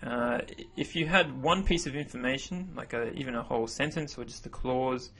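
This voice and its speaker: Male voice-over teacher, native English speaker, husky, casual and self-correcting. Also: medium pitch, normal speed. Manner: relaxed, self-correcting explanations. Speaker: language teacher. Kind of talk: narrated slideshow lesson.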